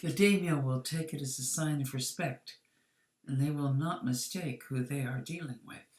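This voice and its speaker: Young priestess, secretly divine, from a short story, acted by the narrator, formal, quietly insistent, roomy sound. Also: medium pitch, normal speed, lightly acted. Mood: poised, insistent. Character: devout, cunning young priestess, secretly a goddess.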